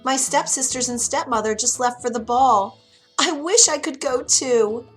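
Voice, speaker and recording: A woman's voice: sits high, unhurried, faint music behind, pitched above the performer's own voice. A woman voicing Cinderella, a sweet, wistful young woman in a storybook.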